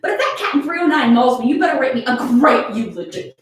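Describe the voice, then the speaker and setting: A brash, playful young woman, acted out by a woman reader, flippant and theatrical with a lively, swooping pitch. The fan fiction reading echoes heavily in the room. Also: quick pace. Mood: flippant, bragging. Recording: very echoey room, distant from mic.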